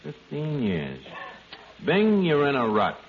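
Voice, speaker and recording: An actor playing a brash, scheming tavern manager with a New York accent and streetwise, hemming patter in old radio comedy. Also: male voice, a little high, wildly swooping pitch, takes it slowly, heavily acted. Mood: wheedling. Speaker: comedy show lead. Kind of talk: 1940s radio comedy acting. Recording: noisy, dull vintage radio recording.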